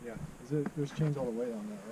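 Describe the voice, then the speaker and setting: A man's voice: medium pitch, fairly even intonation, quick pace, warm tone. A man hiking a steep cliff trail at night, nervous about the heights and talking casually with a friend outdoors. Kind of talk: casual chat.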